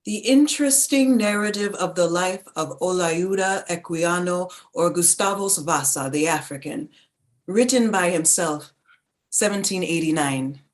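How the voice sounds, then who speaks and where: An actress playing a reflective woman narrator who recalls her childhood in a contemplative, deliberate literary reading. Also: on the low side, close to an everyday voice.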